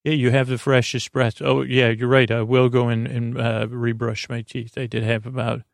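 Man, gravelly creaky voice, medium pitch, hesitant rambling sleep podcast host, meandering delivery. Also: level tone, no room sound, studio quality. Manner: hesitant, halting, drifting into tangents. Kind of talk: rambling, meandering bedtime monologue.

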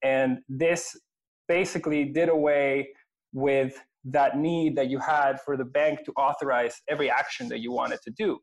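A man from Venezuela, a company president answering interview questions earnestly, with frequent pauses and restarts. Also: medium pitch, steady tone, low-quality recording.

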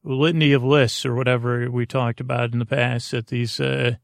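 Gravelly American man, rambling and halting. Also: mid-range voice, flat delivery, dry recording, clean studio sound. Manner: halting, self-deprecating. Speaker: sleep podcast host. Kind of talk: rambling, meandering bedtime monologue.

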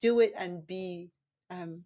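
A soft-voiced woman, a nutritionist, chatting as a podcast guest, animated and quick to laugh, often restarting her sentences. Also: lowish voice. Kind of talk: casual interview chat.

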